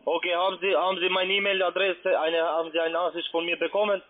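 A man calling on the phone as a scam caller, pushing with halting, repeated questions in non-native grammar. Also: sits high, little pitch movement. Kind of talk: phone call, pushy questioning. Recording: muffled lo-fi phone line.

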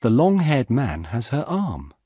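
A man's voice: mid-range voice, wildly swooping pitch, unhurried, dark tone, slightly rough, dry recording, clean studio sound, full character voice. A man voicing an alert, observant woman police officer in a storybook, speaking emphatically as if pointing things out. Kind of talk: audiobook character dialogue.

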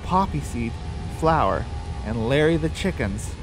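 A man narrating a scripted radio drama in a deliberate storytelling delivery. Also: mid-range voice, lively intonation, over music, poor audio.

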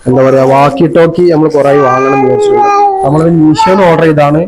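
A man's voice: fairly high pitch, fast. A man with a Kerala Malayalam accent chatting casually as he hosts an unboxing vlog, explaining things informally step by step. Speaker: vlogger. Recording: noisy amateur vlog audio with clicks.

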